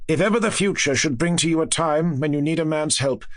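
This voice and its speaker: A man's voice: a little high, a little fast, close to an everyday voice. A man voicing a humble, earnest young lord in grief, as dialogue in a gothic audiobook. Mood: grateful, fervent.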